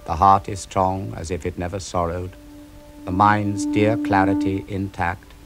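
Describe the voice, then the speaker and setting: A man reads an epic poem aloud. His voice sits low, and he takes each phrase slowly, with a measured, dramatic delivery. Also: flat delivery, loud music behind the voice. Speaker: narrator. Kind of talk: scripted poetry reading.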